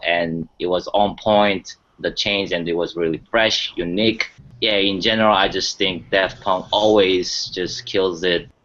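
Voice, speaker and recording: A man's voice: deep voice, a bit bright. A man talking casually and enthusiastically as a panelist on a music talk show, often saying "you know". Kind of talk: casual chat. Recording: dull lo-fi sound.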